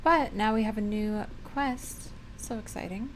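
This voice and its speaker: A woman's voice: mid-range voice, unhurried, slight background noise, poor audio. A woman streamer casually commenting on a video game playthrough in short, playful reactions.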